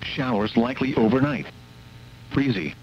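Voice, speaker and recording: Guy reading a weather radio forecast, formal and measured, mid pitch, dull sound with hum and static.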